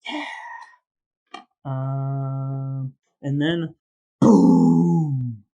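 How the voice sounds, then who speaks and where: A man's voice: medium pitch, fairly even intonation, extremely slow, vocal fry, dark tone, lo-fi sound. A man co-hosting a casual comedy podcast, talking in a wordy, playfully dramatic way. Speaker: podcast co-host.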